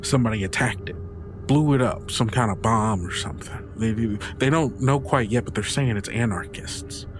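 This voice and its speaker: A man's voice: medium pitch, normal speed, over music, lightly acted. A man voicing a neighborly, rueful country woman with folksy, hesitant phrasing in a tabletop roleplay. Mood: troubled, rueful. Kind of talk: improvised in-character tabletop roleplay.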